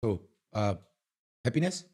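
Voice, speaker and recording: A South African man hosting a casual sports podcast, relaxed and hesitant, with lots of fillers. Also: on the low side, a little slow, breathy edge. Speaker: podcast host.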